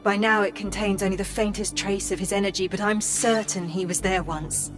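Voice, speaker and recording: A woman playing a loyal AI assistant in a video game, polite, measured and dryly witty. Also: medium pitch, fairly even intonation, natural voice. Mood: measured, informative. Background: music.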